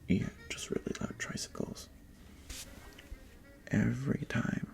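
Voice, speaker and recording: Slow rambling ASMR whisperer. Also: medium pitch. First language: Tagalog. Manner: rambling, chatty, self-interrupting whisper with sudden breathy exclamations. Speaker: ASMR creator. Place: bedroom indoors.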